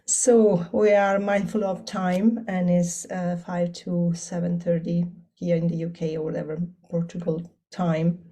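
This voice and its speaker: A woman hosting an online meeting, thanking the speaker in a gracious, measured way. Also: on the low side, little pitch movement, dark tone. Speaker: meeting host. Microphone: video call.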